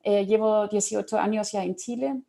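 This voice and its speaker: A woman from Germany speaking with a German accent, giving a thoughtful academic panel talk with many pauses and fillers. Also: medium pitch, steady tone, gravelly, dark tone.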